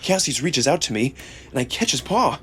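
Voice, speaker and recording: A man reading a visual novel in the first person as a theatrical, expressive narrator. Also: medium pitch, moderate pace, slightly rough. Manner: theatrical, expressive, acts out emotion. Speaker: narrator and performer. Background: music.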